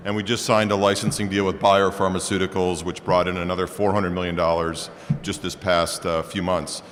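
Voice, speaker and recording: A man, a biotech founder and CEO, giving brisk, matter-of-fact facts about his company on a panel. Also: deep voice, flat delivery. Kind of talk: panel introduction. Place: conference hall. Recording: long hall reverb, faint room noise.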